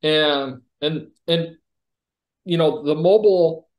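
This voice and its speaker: A man giving a conversational product demo over a video call, explaining like a company executive presenting software. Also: on the high side, slow pace.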